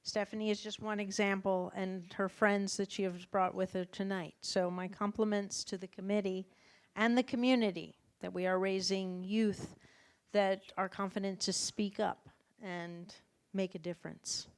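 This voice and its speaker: A woman's voice: mid-range voice, fairly even intonation, normal speed, no echo. A woman emceeing an awards ceremony, speaking steadily and formally as she announces honorees. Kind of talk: ceremony presentation. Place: awards event hall.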